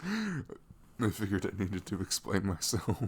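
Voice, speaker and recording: Male, very low gravelly voice, as a sweet boyfriend in casual ASMR roleplay; playful, rambling, breathy. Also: very animated, slow. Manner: playful, rambling, breathy asides dropping into whispers. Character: sweet, caring boyfriend chatting the morning after. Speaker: audio roleplay performer.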